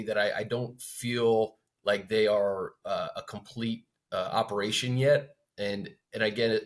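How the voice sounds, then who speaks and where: A man co-hosting a sports talk show, thinking aloud in a measured, conversational way as he gives unscripted analysis. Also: on the low side, fairly bright tone.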